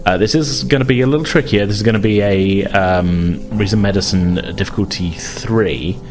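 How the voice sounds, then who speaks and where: A low male voice runs a tabletop role-playing game over a music bed. He narrates as he improvises, feeling his way tentatively through scenes and dice rules. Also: moderate pace. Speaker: game master. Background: music under the voice.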